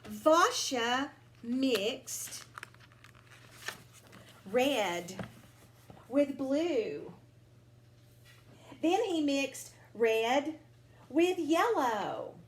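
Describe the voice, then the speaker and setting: A woman reading a children's picture book aloud expressively, drawing out words with deliberate, dramatic phrasing. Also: high-pitched, very animated. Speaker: storybook reader. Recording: roomy home recording with faint noise.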